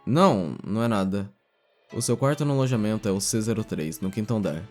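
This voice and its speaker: A man's voice: lowish voice, brisk, a little mellow, natural voice. A man playing a businesslike, curt school front-desk secretary in an audio novel. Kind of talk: audio novel character acting. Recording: music under the voice.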